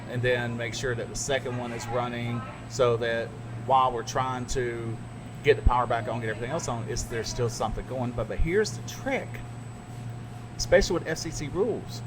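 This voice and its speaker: A middle-aged man, a radio DJ, chatting casually and telling stories with animated asides. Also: medium pitch. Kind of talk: casual podcast chat. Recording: noisy background.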